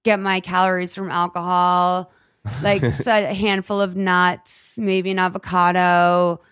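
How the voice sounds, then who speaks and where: A woman's voice: on the low side, level tone, measured pace, a bit bright, clean tone. A woman in her thirties hosting a podcast, gabbing and teasing in casual chat, full of "like" fillers. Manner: gabby, teasing, lots of "like" fillers. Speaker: podcast host.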